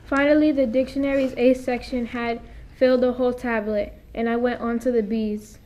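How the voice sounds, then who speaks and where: A woman's voice: a little high, little pitch movement, normal speed, a little mellow. A young teenage girl, a middle school student, giving a dramatic reading aloud. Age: young teen, middle school student. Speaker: student reader.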